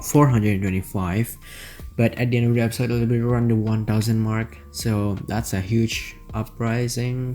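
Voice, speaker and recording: Low-voiced man. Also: a little mellow. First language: Indonesian. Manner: rambling, many fillers and self-corrections. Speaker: gaming channel host. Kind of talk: casual gameplay commentary.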